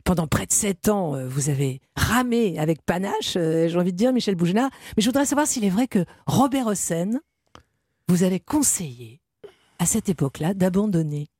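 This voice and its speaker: A woman interviewer asking prepared questions in measured, formal phrasing with pauses. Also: fairly low pitch, expressive, a little mellow, slightly rough, no room sound, clean studio sound. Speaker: interviewer, host.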